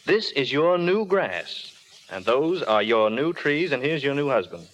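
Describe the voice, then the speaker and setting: An actor playing a wry, world-weary, romantic film star in old radio drama style, suave and understated. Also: male voice, mid-range voice, very animated, unhurried, a bit bright, slight background noise, poor audio. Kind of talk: 1930s-40s radio drama acting. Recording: old radio recording with faint music.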